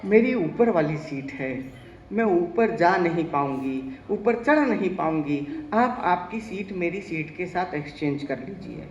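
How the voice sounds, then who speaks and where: A man voicing a coy woman passenger, sweet-talking softly to get a seat swap, on an echoey live stage. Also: sits high, lively intonation, measured pace, lo-fi sound, a little hiss or hum, pitched below the performer's own voice. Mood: coaxing.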